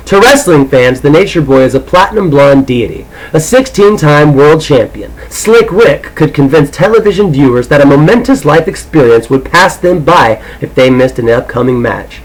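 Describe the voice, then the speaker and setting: A man's voice: on the high side, rough recording. A man with an irreverent, foul-mouthed manner, talking casually and reading aloud as an amateur audiobook reader.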